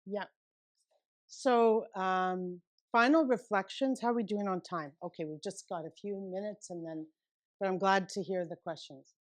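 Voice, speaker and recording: A woman giving a relaxed, conversational lecture, thinking aloud and taking questions. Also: mid-range voice, moderate pace. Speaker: guest lecturer. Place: lecture room.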